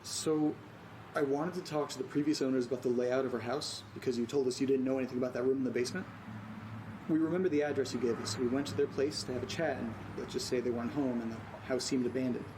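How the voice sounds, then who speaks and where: An actor playing an anxious, earnest man in a naturalistic audio drama, speaking in a hesitant, halting way. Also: mid-range voice, steady tone, background noise, natural voice. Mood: uneasy, pressing. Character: anxious, earnest man recording strange events at home. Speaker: lead character of a horror audio drama.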